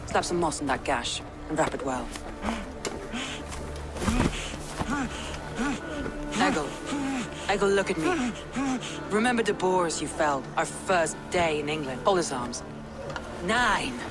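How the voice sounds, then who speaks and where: A woman playing a steadfast, battle-hardened Danish Viking warlord in a video game, measured and commanding. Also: low voice, animated, loud music behind the voice. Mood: urgent, caring. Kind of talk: dramatic video game cutscene acting.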